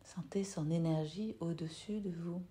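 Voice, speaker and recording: A woman yoga nidra teacher leading a guided meditation in a soft, soothing, unhurried way with long pauses. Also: lowish voice.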